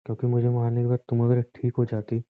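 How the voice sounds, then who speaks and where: A man's voice: medium pitch, monotone, quick pace, smooth, no room sound, natural voice. A man acting as a devoted, reassuring young husband in a conversational romantic audio drama, earnest with a touch of teasing bossiness. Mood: tender, reassuring. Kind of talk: romantic phone-call style audio drama in Hindi. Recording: muffled, narrow phone-like audio.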